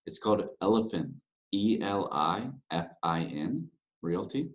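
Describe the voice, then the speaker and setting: A man in his thirties from Louisiana speaks as a guest on a business podcast. His voice sits very low, and he talks in a relaxed, candid way, pausing often with fillers. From Louisiana, USA. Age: 30s. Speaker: guest, real estate broker. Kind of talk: podcast interview.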